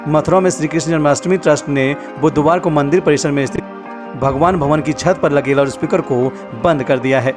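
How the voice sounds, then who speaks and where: A man's voice: fairly high pitch, fairly even intonation, a little fast. An Indian man narrating a scripted news report as a voice-over. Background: prominent music bed.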